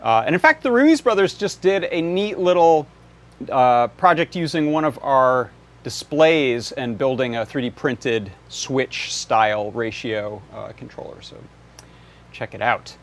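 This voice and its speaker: A man's voice: mid-range voice, animated, measured pace. A man hosting a live electronics workshop, explaining demonstrations in a casual way. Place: indoor workshop.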